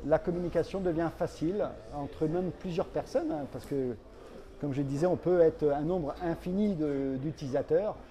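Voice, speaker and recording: A man speaking as a company representative in an interview, explaining products in a halting way and repeating words. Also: on the high side, dark tone, a little hiss or hum.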